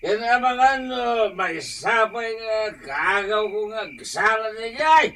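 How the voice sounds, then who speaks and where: An actor with an Ilocano accent playing a slurring, silly, stubborn drunk husband in a radio comedy drama. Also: male voice, high voice, lively intonation, slow pace, bright, forceful tone, exaggerated voice. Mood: drunken babbling.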